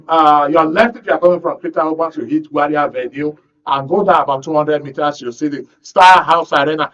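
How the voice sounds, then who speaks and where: A man's voice: fairly high pitch, fairly bright tone. A man with a Nigerian accent presenting a live radio talk show as its host. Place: radio studio. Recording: distant, slightly muffled studio audio.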